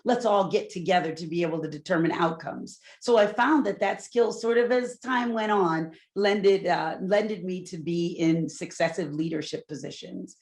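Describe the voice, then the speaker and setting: A middle-aged woman from Newport, Rhode Island, a loud and candid corporate executive telling her career story on a panel with asides to the audience. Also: fairly low pitch, moderate pace, few pauses. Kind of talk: panel talk, personal story.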